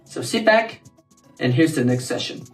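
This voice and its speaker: A man's voice: fairly high pitch, animated, moderate pace, over music. A man hosting an online conference, introducing speakers in an upbeat, announcer-like way. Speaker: event host.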